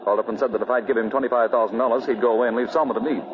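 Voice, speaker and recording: Actor as a very fast-talking lovelorn suitor. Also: male voice, medium pitch, steady tone, crowd noise, lightly acted. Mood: troubled. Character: earnest, lovelorn, rejected young suitor. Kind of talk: 1940s radio acting. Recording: noisy, dull 1940 radio recording.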